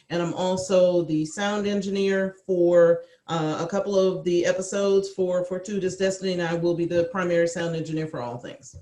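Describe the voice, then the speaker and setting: A woman's voice: on the low side, darkish tone. A woman chatting casually as a cast member on a group video call. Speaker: voice actor and sound engineer. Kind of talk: casual introduction and chat. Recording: echoey room on video call.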